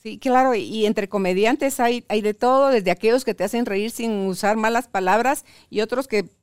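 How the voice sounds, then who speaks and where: A woman's voice: a little high, moderate pace, smooth, no echo, clean studio sound. A woman hosting a conversational podcast interview, speaking as the interviewer.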